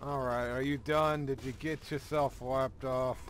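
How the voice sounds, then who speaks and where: A man's voice: medium pitch, fairly even intonation, measured pace, a little hiss or hum. A man playing a doddering old grandpa with bad eyesight in a game roleplay, drawling and folksy. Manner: folksy, drawling, calls others boy and sonny.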